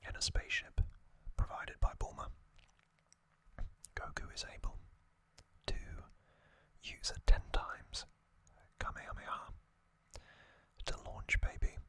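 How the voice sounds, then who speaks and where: An ASMR host whispering a recap in a breathy, unvoiced whisper, in short halting phrases with pauses. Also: sharp tone. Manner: slow, halting, pausing between short phrases.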